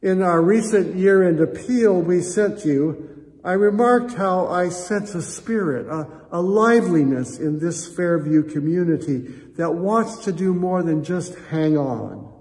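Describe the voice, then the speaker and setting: An older American man, a pastor, speaking solemnly and earnestly in measured phrases as he leads prayer and appeals to a congregation. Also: sits high, animated, darkish tone. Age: 60s or older. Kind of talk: church prayer and offering appeal. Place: church sanctuary, indoors. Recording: reverberant church hall echo.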